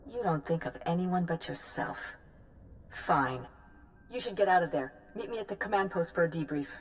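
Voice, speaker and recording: A woman's voice: fairly low pitch, expressive, normal speed, a little hiss or hum, natural voice. A woman playing a pragmatic, hard-nosed military science commander in a video game, clipped and businesslike. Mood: brusque. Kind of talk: video game character acting.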